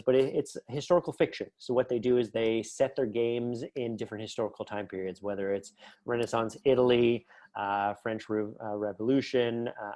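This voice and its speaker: A man's voice: medium pitch, warm tone. A man being interviewed as a writer, explaining his work casually and enthusiastically, with frequent hesitations. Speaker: podcast guest, writer.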